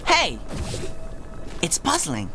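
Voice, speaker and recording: Animated, bookish little Smurf. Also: female voice, fairly low pitch, drawn-out words, gravelly, soft background music, heavily acted. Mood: inquisitive. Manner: precise, inquisitive, bookish. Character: earnest, brainy, self-important young male Smurf, small blue creature. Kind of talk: cartoon video game acting.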